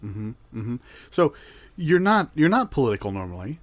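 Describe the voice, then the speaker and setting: A man plays a halting, earnest interviewer in a comedy sketch. His pitch moves in a lively way around a middling level, and he repeats words as he gropes for questions. The sound is dry and close. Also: no echo, close to an everyday voice. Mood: earnest, curious. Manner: hesitant, stammering, repeats words. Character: halting, earnest male radio music interviewer. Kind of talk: improvised mock interview.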